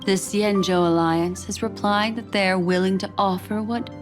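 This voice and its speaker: A woman voicing a composed, enigmatic envoy in a game, speaking in a formal, measured way. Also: medium pitch, steady tone, over music, natural voice. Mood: poised, pleased. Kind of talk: scripted game character dialogue.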